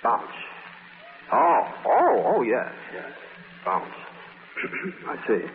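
A muffled, faintly noisy 1940s radio recording of a wry magazine writer. He drawls and stumbles charmingly over his words, with lively swings in pitch. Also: extremely slow, vocal fry, full character voice. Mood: teasing, curious. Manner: hesitant, drawling, repeating himself.

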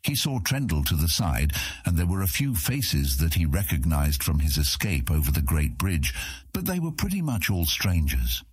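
A man's voice: a bass voice, very animated, moderate pace, slightly rough, no echo. A man narrating a scripted fantasy audiobook in a dramatic storytelling style.

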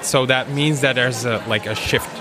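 A man moderating an informal panel discussion, searching for his words with frequent pauses. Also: mid-range voice, fairly even intonation, a crowd in the background, rough recording. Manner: hesitant, searching, pausing often.

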